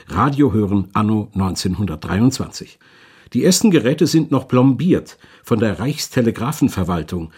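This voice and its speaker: A man's voice: medium pitch, mellow, husky. A man delivering scripted narration as a documentary narrator.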